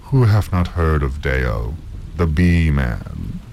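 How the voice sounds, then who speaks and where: A man's voice: rumbling low, sing-song, slow, vocal fry, darkish tone, slight background noise, heavily acted. A man voicing a courtly, condescending visiting professor in a story, with formal, florid, patronising politeness. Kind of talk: acted dialogue in a storybook reading.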